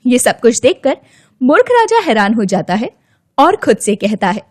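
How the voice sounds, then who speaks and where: A woman storyteller reads a scripted children's tale. Her voice is high-pitched and swoops expressively, with a dry studio sound. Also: big pitch swings, normal speed, darkish tone, dry recording.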